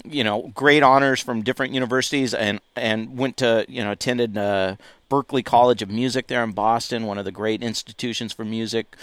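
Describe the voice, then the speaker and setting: A man's voice: medium pitch, steady tone, a little fast, fairly bright tone, dry recording. A man hosting a talk radio show, speaking enthusiastically and rambling, with many restarts. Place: radio studio.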